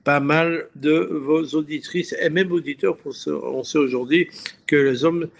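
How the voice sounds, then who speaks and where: A man speaking as a health expert guest on a radio show, explaining science conversationally in a didactic, digressive way, often checking in with the listener and pausing to search for words. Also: on the high side, fairly even intonation, vocal fry, a little hiss or hum.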